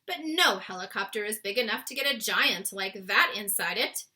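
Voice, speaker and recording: Woman as testy, sharp air force marshal. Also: mid-range voice, animated, moderate pace. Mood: testy, proud. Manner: brusque, indignant.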